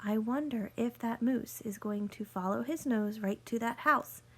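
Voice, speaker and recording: High-voiced woman reading to children. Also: a bit bright, husky. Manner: deliberate, explanatory, addressing young listeners with questions. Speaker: teacher reading a picture book aloud to children. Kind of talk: read-aloud storytelling with teaching asides.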